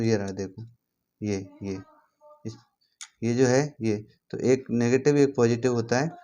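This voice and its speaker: A man's voice: medium pitch, a little mellow. A man with an Indian accent giving an informal hands-on tutorial, repeating himself and checking in with the listener as he instructs. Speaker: instructor demonstrating equipment.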